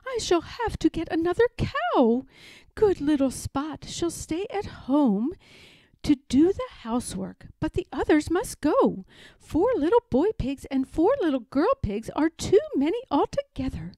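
A woman's voice: sits high, sing-song, measured pace, warm tone, no room sound, voice pushed up. A woman voicing a fussy, sighing mother sow who instructs and admonishes in a storybook read-aloud. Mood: exasperated.

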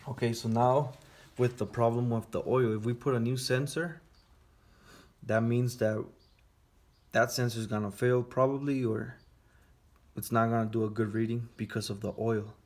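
A man's voice: medium pitch, little pitch movement, normal speed, a little mellow. A man walking through a car sensor test in a hands-on repair tutorial, talking casually step by step while he works in a garage. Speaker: auto mechanic.

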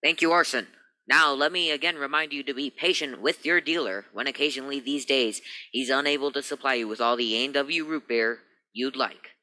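A man playing an upbeat, polished 1940s radio announcer with a crisp, emphatic sales manner. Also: mid-range voice, brisk, pushed and bright, dry recording, rough recording. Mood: formal.

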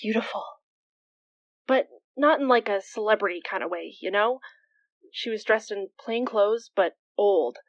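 A woman playing a casual eyewitness in a horror audio drama, talking in halting, conversational phrases. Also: mid-range voice, no room sound, lo-fi sound. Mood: awed, searching. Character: casual young woman witness recounting a ghostly sighting.

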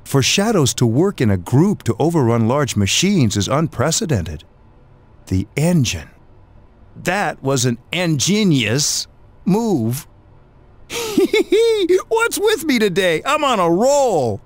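Sly middle-aged game scientist, cracking puns, chuckling at his own jokes, wildly swooping pitch, fairly high voice. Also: big pitch swings, takes it slowly, full character voice. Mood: musing. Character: pun-loving middle-aged male scientist chairman, genial and scheming. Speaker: game character. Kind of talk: game cutscene voice acting.

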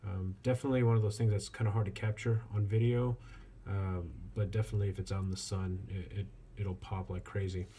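A man giving a casual hands-on product review as a reviewer, speaking in a halting way with frequent pauses. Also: sits low. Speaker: watch reviewer. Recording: clear steady background noise.

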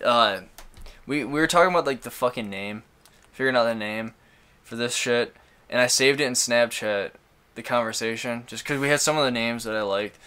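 A man in his twenties from Michigan, co-hosting a crude casual podcast chat, loud and profane with frequent laughter. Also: medium pitch, moderate pace, smooth. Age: 20s. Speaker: podcast co-host.

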